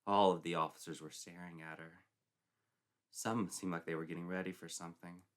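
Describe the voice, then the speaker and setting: A man's voice: low voice, fairly even intonation, brisk. A man narrating a scripted story dramatically, in a theatrical, mock-serious manner.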